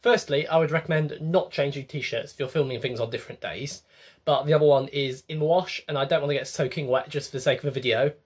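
Wry young man with a husky voice. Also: a little high, fairly even intonation, fast. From England. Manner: wry, chatty, self-mocking. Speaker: comedian, web series host. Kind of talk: comic monologue to camera. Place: small bedroom with bookshelves.

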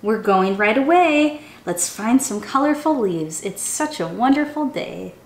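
A young woman, a children's storytime teacher, reading a picture book aloud in an animated, sing-song way, speaking straight to young listeners. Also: a little high, a little slow. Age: young adult. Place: small room indoors. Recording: roomy indoor echo.

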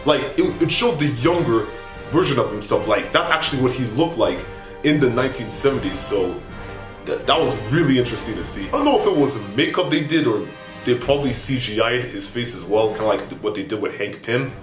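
A young man speaks in a casual, animated vlogger style, rambling and exclaiming as he reviews. His voice sits at an ordinary male pitch. The room is clearly echoey, and music plays behind him. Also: brisk. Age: young adult. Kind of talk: casual chat to camera. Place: small indoor bedroom with bunk beds.

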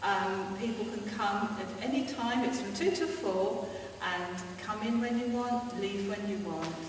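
Slow-spoken woman in an echoing hall. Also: medium pitch. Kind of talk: introducing a church gathering. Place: large hall indoors. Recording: echoey hall audio from far off, noticeable hiss.

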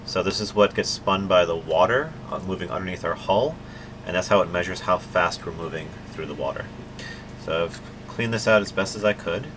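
A man's voice: low voice, brisk, noisy background. A man in his thirties hosting a sailing vlog, talking casually with fillers as he explains what he is doing on his boat. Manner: explaining step by step, chatty with fillers. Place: aboard a sailboat, cabin and deck.

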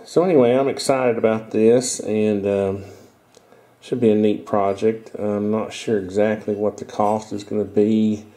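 Middle-aged man, stuffy and nasal from congestion, low mellow voice; rambling, self-correcting home-video host on a headset mic. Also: little pitch movement, measured pace. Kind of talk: casual show-and-tell talk.

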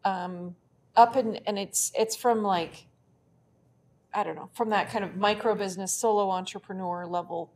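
A woman's voice: mid-range voice, fairly even intonation, moderate pace, a little mellow. A middle-aged woman giving casual explanations in an interview, thinking aloud as she goes. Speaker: interview guest, bookkeeper. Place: classroom, indoors. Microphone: lapel mic. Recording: clear background room noise.

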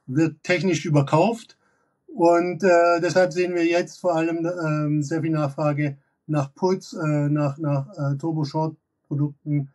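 A man in his thirties gives market commentary from an office. His voice sits a touch high for a man, and it sounds a little distant from the microphone. He talks analytically, with frequent fillers and restarts. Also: fairly even intonation, unhurried, darkish tone. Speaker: derivatives expert guest. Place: office with glass wall.

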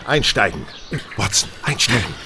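An actor playing a brisk, impatient gentleman detective with dry sarcasm in a German audio drama, curt and commanding, sometimes halting as he thinks. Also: lowish voice, sing-song, creaky. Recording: faint background noise.

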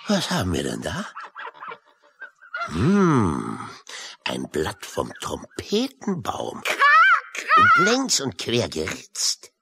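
An actor playing a boisterous, yarn-spinning sailor uncle with salty, hearty exclamations in a children's audio drama. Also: male voice, fairly low pitch, huge pitch swings, very slow, vocal fry, long pauses, noisy background, heavily acted. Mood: blustery, curious. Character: boisterous, yarn-spinning old seafaring uncle with a wooden leg.

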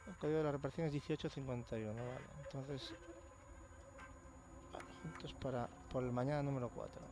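Castilian Spanish gamer over noisy game sound. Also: male voice, mid-range voice, brisk. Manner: sweary, muttering to himself. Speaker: gamer, let's play host. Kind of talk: casual gameplay commentary. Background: horror game ambience.

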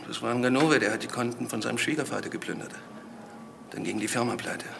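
An actor playing a blunt, disapproving witness in a German crime radio drama. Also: male voice, medium pitch, fast, creaky. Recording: very noisy ambient background.

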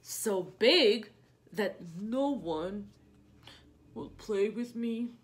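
A woman voicing a huge, sad, lonely whale from a picture book, with loud, slow, drawn-out words. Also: on the high side, lively intonation.

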